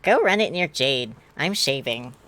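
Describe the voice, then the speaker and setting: A woman's voice: extremely low pitch, expressive, unhurried, a bit bright, heavily acted. A woman voicing an irritable, awkward, sarcastic adolescent boy in an amateur fan fiction reading. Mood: forced cheer.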